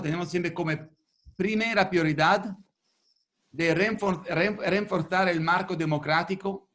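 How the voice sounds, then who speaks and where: Halting man. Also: a little high, a bit bright. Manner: halting, searching for words, many restarts. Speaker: federalist speaker. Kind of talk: webinar talk. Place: home video call. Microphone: video call.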